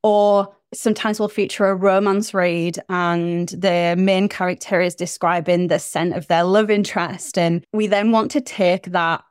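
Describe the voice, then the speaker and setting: A woman's voice: lowish voice, level tone, unhurried, hardly any pauses, darkish tone, clear, resonant tone, no echo, clean studio sound. A British woman in her thirties, chatting enthusiastically as a podcast guest about her small business. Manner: chatty and enthusiastic, laughs easily. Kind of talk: casual interview chat.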